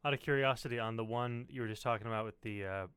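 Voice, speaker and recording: A man's voice: mid-range voice, quick pace, fairly bright tone, smooth, no room sound. A man hosting a casual interview, asking questions in an informal, conversational way. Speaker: podcast host, interviewer.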